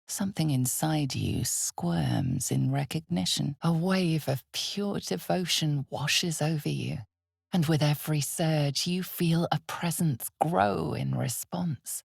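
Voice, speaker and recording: A deep female storyteller narrates a dark fantasy video game with slow, deliberate weight. Her voice is heavily creaky and husky, and her delivery is theatrical and full of foreboding. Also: no echo, clean studio sound, exaggerated voice. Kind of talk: scripted second-person dramatic narration.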